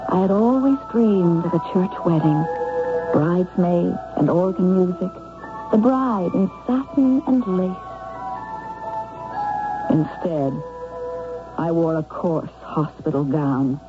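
A woman's voice: on the low side, slow, pauses often, over music. A young, romantic nurse telling her own story in a vintage radio drama. Her earnest, wistful voice comes through a thin, tinny broadcast. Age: young adult. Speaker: nurse and narrator. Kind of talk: 1970s radio drama narration.

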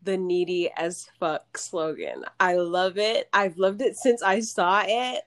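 A woman's voice: lowish voice, expressive, takes it slowly. A woman hosting a podcast in a bubbly, casual way, often laughing. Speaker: podcast host.

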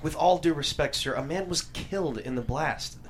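A man acting a deferential, grieving 1890s husband and factory supervisor in a period drama table read, speaking haltingly. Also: fairly high pitch, a little hiss or hum, natural voice. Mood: deferential, nervous. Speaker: actor.

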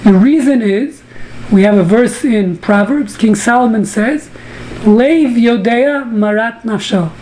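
A woman's voice: on the high side, unhurried, warm tone, slight background noise, rough recording. A woman from the US teaching a Talmud class over a video call, joking and bantering with participants. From United States. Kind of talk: online study class.

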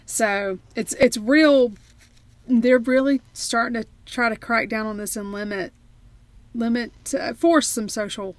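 A woman's voice: fairly high pitch, steady tone, unhurried, no echo. An American woman co-hosting a casual remote chat, chatty with frequent laughs and restarts. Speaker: podcast co-host.

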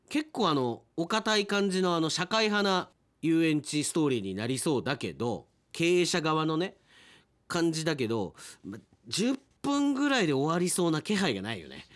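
Animated male radio host. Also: a little high. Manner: chatty, commenting on the stories he reads. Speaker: radio announcer hosting an after-show talk. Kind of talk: casual podcast after-talk, reading listener mail.

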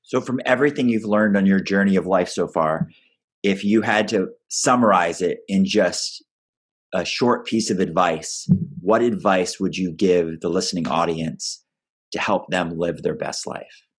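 A man co-hosting a podcast, putting questions and announcements in a measured, orderly style. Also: deep voice, breathy edge. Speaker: podcast co-host.

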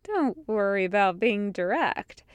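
A woman's voice: mid-range voice, animated, a little slow, clear, resonant tone, no room sound, natural voice. A woman voicing a brash, teasing woman rogue in a story, flirtatious and playfully emphatic. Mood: suggestive.

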